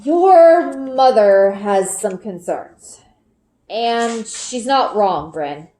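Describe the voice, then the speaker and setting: A woman voicing a weary, gentle, cautious father in a children's storybook, with measured, deliberate delivery. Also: a little high, expressive. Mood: concerned. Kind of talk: children's storybook read-aloud. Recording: roomy indoor echo.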